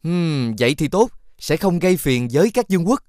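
Sly helpful cartoon fox, highish voice, coaxing, dry close sound. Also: male voice, very animated, moderate pace, a little mellow, no room sound. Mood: scheming, reassuring. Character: clever helpful talking fox, loyal male advisor. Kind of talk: Vietnamese animated fairy tale dubbing.

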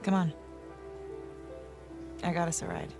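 A woman's voice: fairly low pitch, big pitch swings, measured pace, gravelly, over music, full character voice. A woman playing a tough, caring adventurer in a cinematic game, with a dry, matter-of-fact naturalistic delivery. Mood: guarded.

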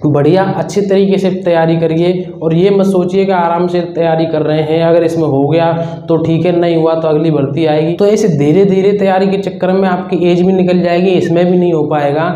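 A man's voice: on the high side, steady tone, brisk, few pauses, warm tone. A man in his twenties from northern India giving an informal, earnest explainer to students as an exam coach, often repeating his points. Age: 20s. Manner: repetitive, earnest advising. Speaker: exam-preparation coach and video host. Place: small indoor room with whiteboard. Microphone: clip-on lapel mic. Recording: echoey room, dull muffled sound.

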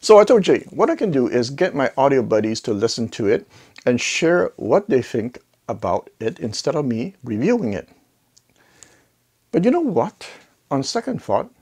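Middle aged guy chatting to camera in a gear review, mid pitched voice, kinda jokey, asks himself questions. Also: creaky, darkish tone, husky. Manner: conversational, jokey asides and rhetorical questions. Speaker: audio gear reviewer, video host. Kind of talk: casual product review to camera. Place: indoor home listening room. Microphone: clip-on lapel mic.

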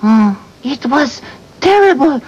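An emotional French-Canadian seabird, played by a woman, high and swooping all over. She speaks slowly and dramatically, mixing French and English in a Québécois accent. The sound is dull, like old TV audio. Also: very animated, a little mellow, full character voice. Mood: distressed.

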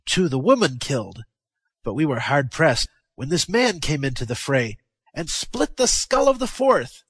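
A man voicing a fierce, wounded warrior, loud and fervent in a declamatory way, raised in pitch above his narrating voice. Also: lively intonation, unhurried, dry recording. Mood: exultant. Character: fierce wounded male warrior, exultant and vengeful.

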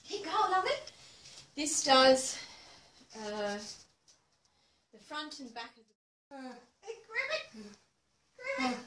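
Gentle teacher chanting for a child; very high, slow, recorded far off in an echoey room. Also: female voice, very animated, slight background noise. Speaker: movement teacher leading a child. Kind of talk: playful sing-song kids' movement session. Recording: echoey room, distant mic.

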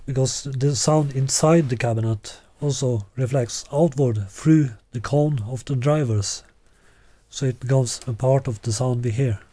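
Slow, halting older man, mellow voice. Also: medium pitch, dry recording. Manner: halting, deliberate, with long hesitations. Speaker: loudspeaker designer presenting a product. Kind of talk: unscripted product explanation. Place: small living room, indoors.

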